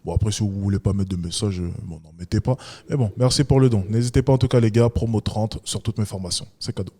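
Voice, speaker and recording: A man's voice: fairly low pitch, fast, mellow. Laid-back male streamer chatting. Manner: laid-back, thanks donors, chuckles while choosing his words. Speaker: streamer reacting to a documentary. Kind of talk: casual live-stream chat.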